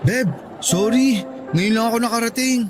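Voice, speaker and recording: A man's voice: very high voice, normal speed, over loud music. A man playing an apologetic, pleading young boyfriend in a radio drama, coaxing and eager to please. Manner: placating, coaxing.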